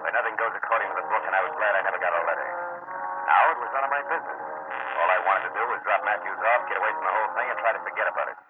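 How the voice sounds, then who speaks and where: A man's voice: fairly low pitch, very fast, close to an everyday voice. An American man playing a cynical, world-weary private detective in terse, dry 1940s radio drama style. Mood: narrating, weary. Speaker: lead actor and first-person narrator of a radio detective drama. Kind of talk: 1940s hard-boiled radio acting. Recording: noisy, tinny old 1940s radio recording.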